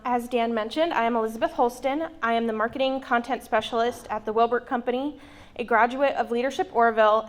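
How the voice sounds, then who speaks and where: Female speaker with a slightly higher voice, earnest, reading a short testimonial. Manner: earnest, read-from-notes delivery. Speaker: program graduate and committee member. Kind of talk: prepared speech. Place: event room at a college.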